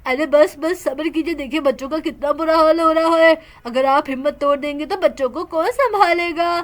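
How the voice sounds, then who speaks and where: A steady older man in a storybook tale, consoling and calm, pitched lower than the storyteller's own very high voice, as a woman puts him on. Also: normal speed, natural voice.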